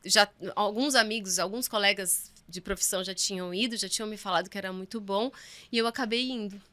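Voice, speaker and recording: Woman, sports physiotherapist, casual interview chat, bright voice. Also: medium pitch, fairly quick. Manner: animated storytelling, acts out her own past remarks.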